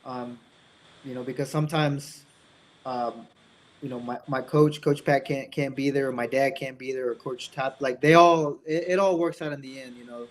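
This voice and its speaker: A man's voice: mid-range voice, steady tone, normal speed, a little hiss or hum. A young man raised in Indiana with an American accent, talking casually as an interview guest and acting out bits of dialogue as he tells stories. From born in Mexico, raised in Indiana, United States.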